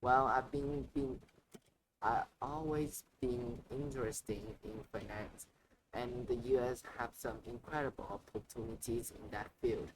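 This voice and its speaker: A man voicing Mally, an eager guest working in finance who speaks haltingly, with stumbling restarts. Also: mid-range voice, fairly even intonation, natural voice. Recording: noisy ambient background.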